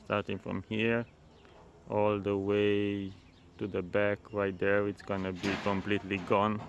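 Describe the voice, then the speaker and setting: Casual Romanian-accented man in his thirties, lowish voice, rambling vlog tour over site noise. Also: completely flat delivery, a little slow, muffled recording. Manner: chatty, repeats words while talking. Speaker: vlogger. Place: construction site outdoors.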